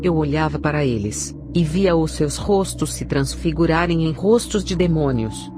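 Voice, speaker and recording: A woman giving a scripted narration of a religious testimony in a solemn, measured way. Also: deep voice, expressive, background noise. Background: background music.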